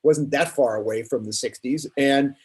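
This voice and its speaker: A man's voice: medium pitch, moderate pace, a little mellow, breathy edge. A man in his sixties reminiscing conversationally as a panelist on a video call. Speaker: panelist author.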